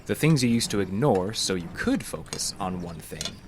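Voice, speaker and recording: Creepy calm counselor villain in a horror audio drama, smug and taunting, noisy backdrop. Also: male voice, sits low. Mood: smug, probing. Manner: condescending, deliberate, taunting. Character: sinister, calmly menacing male counselor secretly drugging his patient.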